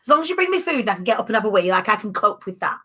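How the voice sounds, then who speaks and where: A woman in her thirties hosting a casual chat, animated and emphatic with playful asides. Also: mid-range voice, rapid-fire. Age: 30s. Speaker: podcast host.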